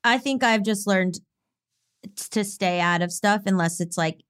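A woman co-hosting a podcast in casual chat, with a fast, intense delivery that sounds like she is arguing even when she is just talking. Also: medium pitch, smooth. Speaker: podcast co-host.

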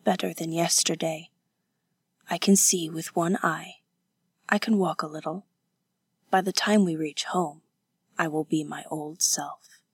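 Woman voicing a stern, hushed young woman, low-pitched. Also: a little slow, vocal fry, breathy edge, no room sound, full character voice. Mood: weary. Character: stern, proper, weary woman of twenty-four, protective and scornful.

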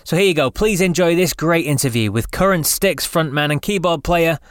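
A man's voice: on the high side, expressive, normal speed. An English man with a slight Scottish lilt, hosting a rock podcast, chatty and upbeat, reading his intro then chatting with his guest. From England. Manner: chatty, upbeat, laughs easily. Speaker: podcast host. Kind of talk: scripted intro then casual interview.

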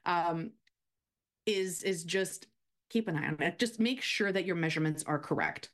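A woman's voice: on the low side, normal speed, fairly bright tone. A woman chatting casually as a guest cooking expert, explaining practical baking advice step by step.

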